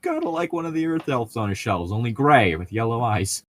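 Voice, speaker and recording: Sardonic, swearing guy with very animated pitch. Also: fairly quick. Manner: profane, sardonic. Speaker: podcast co-host reading fan fiction aloud. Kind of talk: casual riffing chat.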